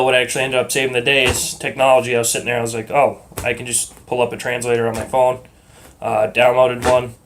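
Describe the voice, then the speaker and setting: Calm, rambling man with a muddled Massachusetts accent. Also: mid-range voice, slight background noise. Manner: calm, rhythmic, relaxed, swears casually, many ums and uhs. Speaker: vlogger. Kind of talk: casual rambling monologue. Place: at work.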